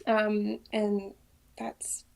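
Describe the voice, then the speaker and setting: A woman from Trinidad in her thirties talks through her background in a relaxed interview. She speaks softly and thoughtfully, with frequent ums and pauses, at an average pitch. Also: vocal fry. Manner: soft-spoken, many ums, thoughtful. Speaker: guest, principal ballet dancer. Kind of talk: casual interview answers.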